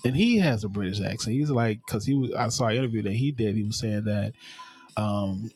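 A man's voice: fairly low pitch, fairly quick, darkish tone, slightly rough, soft background music. A man chatting casually as a co-host, explaining things at length with frequent restarts. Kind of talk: casual chat.

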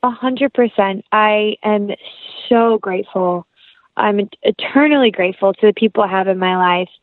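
A woman's voice: mid-range voice, moderate pace, no room sound. A young woman as an interview guest, chatting in an earnest, heartfelt, rambling way. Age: young adult. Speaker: podcast guest, actress. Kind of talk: casual interview chat. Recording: tinny phone-like line.

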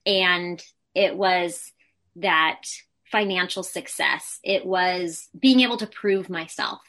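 A woman's voice: on the low side, slow pace, fairly bright tone. A woman speaking as an interview guest, talking candidly and personally, with lots of casual "like" and "you know" fillers. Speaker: interview guest, Pilates instructor and entrepreneur. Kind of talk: candid conversational interview answers.

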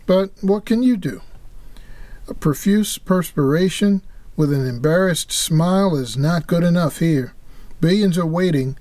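A man's voice: fairly high pitch, measured pace, darkish tone, dry recording. A man co-hosting a religious podcast, reading an earnest, preacherly script. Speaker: podcast co-host.

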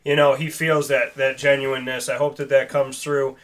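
A man's voice: medium pitch, flat delivery, normal speed, a bit bright. A man hosting a casual sports talk show, speaking earnestly and emphatically in a rambling, personal way.

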